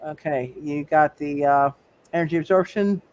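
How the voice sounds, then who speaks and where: A man running a live tabletop superhero game as game master, thinking aloud in halting phrases and repeating his words. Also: fairly high pitch, steady tone, a little mellow, clean tone.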